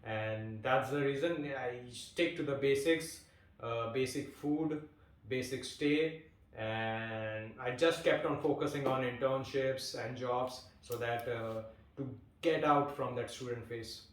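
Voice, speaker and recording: A man in his twenties from India, chatting casually as an interviewee, relaxed and hesitant with lots of fillers and restarts. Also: medium pitch, takes it slowly, recorded from a distance. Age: 20s. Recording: echoey room, voice off-mic.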